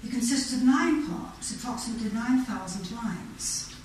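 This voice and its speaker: A woman's voice: on the high side, lively intonation, moderate pace, darkish tone. An older woman in her seventies with an English accent, presenting a scripted local history talk read aloud from notes. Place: community meeting room with audience. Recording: roomy echo, distant mic, faint noise.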